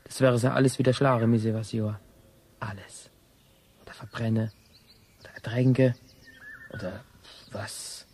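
A man's voice: medium pitch, fairly even intonation, unhurried, long pauses, darkish tone, slight background noise, close to an everyday voice. An actor playing a wary, stubborn older woodsman who speaks tersely and colloquially in a radio drama. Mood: brooding, insistent.

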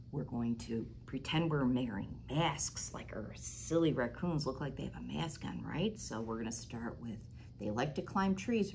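A middle-aged woman reading a picture book aloud to children as a library storytime host, animated and sing-song, now and then dropping to a stage whisper. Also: a bass voice, gravelly, a little hiss or hum. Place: small library room, indoors. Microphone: lapel mic.

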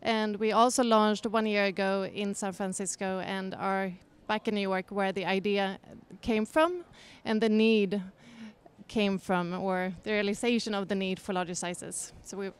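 A woman introducing her shoe line at a press junket in a measured, plain way. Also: medium pitch, fairly even intonation, clean tone. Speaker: shoe brand founder.

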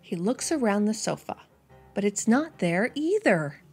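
A young woman hosts storytime for small children. Her delivery is lively and melodic, with a slightly husky edge to the voice. Music plays behind her as she speaks. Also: mid-range voice, a little slow. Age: young adult. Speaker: children's storytime reader and host. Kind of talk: expressive picture-book read-aloud.

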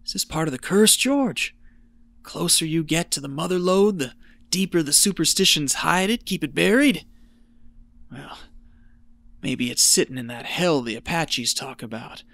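An actor playing an exhausted, rambling treasure hunter losing his wits, folksy and breathy, muttering to himself in an audio drama. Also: a little high, expressive, fairly bright tone, dry recording. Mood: bitter, rambling. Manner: folksy, muttering to himself, slow halting delivery.

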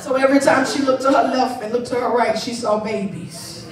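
A woman's voice: a little high, fairly even intonation, brisk. Woman preaching in an echoey sanctuary. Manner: conversational preaching that builds to shouting, playful asides. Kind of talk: sermon. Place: large church sanctuary, stage. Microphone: handheld microphone. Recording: echoey hall, far mic, crowd responses. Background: congregation calling back.

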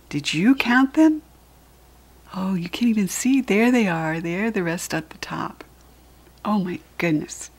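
Slow, breathy American woman reading to children. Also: medium pitch. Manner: gentle, slow, child-directed explaining. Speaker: children's book reader. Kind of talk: read-aloud storytelling with asides to young children.

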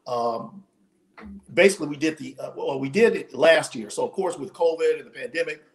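A man's voice: a little high, a little fast. Man telling his story on a video call. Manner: animated, storytelling with many restarts. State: losing his voice. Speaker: podcast guest, author. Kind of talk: casual interview storytelling. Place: home room, indoors.

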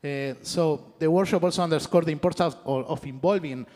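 A man reads formal prepared remarks into a handheld mic at a conference panel. His voice sits somewhat high for a man. A long hall reverb trails each phrase. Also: a little fast, darkish tone. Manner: formal reading from a laptop. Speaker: youth panel speaker. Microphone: handheld microphone.

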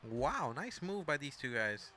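A man's voice: mid-range voice, very animated, unhurried, sharp tone, slight background noise. A young man of Mexican background giving rambling, casual play-by-play commentary over a wrestling video game, full of asides and self-corrections.

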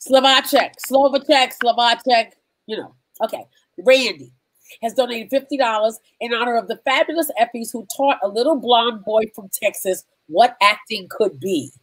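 A woman with a fairly high voice reads donations aloud over a video call in a folksy way. Her sound is close and dry. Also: normal speed, dry recording, low-quality recording. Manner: folksy, says "honey". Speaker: guest reading donations. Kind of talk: casual video call chat.

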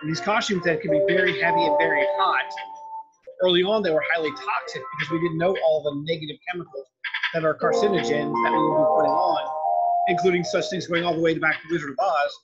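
A man's voice: fairly high pitch, steady tone, quick pace, dull audio, over loud music. A man giving a lecture over slides in an explanatory, loosely worded, conversational way. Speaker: film and art history lecturer.

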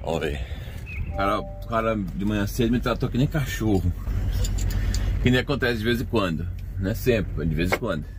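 A man's voice: fairly low pitch, lively intonation, fairly quick, noisy background. A man from Brazil with a Brazilian Portuguese accent, a truck driver chatting and joking to his camera from inside his truck cab. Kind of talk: casual vlog narration. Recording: loud background music over voice.

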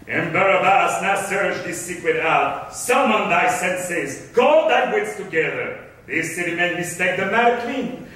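A man's voice: high-pitched, a bit bright. An actor playing a wealthy, shrewd, self-serving merchant and father in theatrical classical stage verse. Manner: theatrical, scheming. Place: theatre stage with music stands. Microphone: far camera. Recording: echoey hall, recorded from far off.

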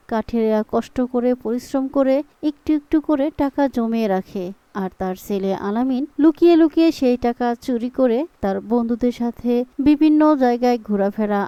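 A woman narrates a cartoon storybook tale in a fairly high voice. Her tone is soft-edged and mellow. The recording is close and dry but somewhat dull. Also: clear, resonant tone, no echo.

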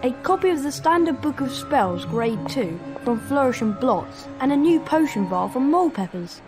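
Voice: high voice, very animated, moderate pace, over music. A performer playing an earnest, polite young schoolboy wizard in a video game. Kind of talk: video game cutscene acting.

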